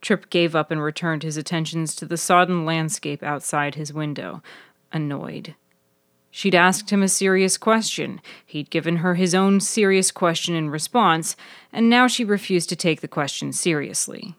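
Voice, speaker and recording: A woman's voice: low voice, normal speed, a bit bright, no echo, studio quality. A woman reading scripted fiction as the narrator of a serial story. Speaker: author and narrator.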